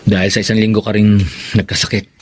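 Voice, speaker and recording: A man acting a steady, caring older cousin in a radio drama, earnest and reassuring. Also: fairly low pitch, quick pace, slight background noise, lightly acted. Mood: caring, firm.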